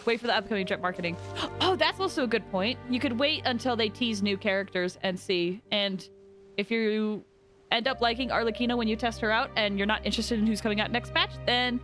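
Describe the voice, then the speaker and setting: A woman giving chatty, expressive, casual commentary as she livestreams a video game. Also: on the high side, a little fast, faint music behind. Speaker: game streamer.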